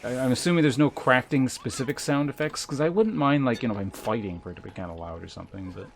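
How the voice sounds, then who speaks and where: A man's voice: mid-range voice, fast, vocal fry. A man giving casual playthrough commentary as a game streamer, offhand and often muttering to himself. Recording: game music behind the voice. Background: game music.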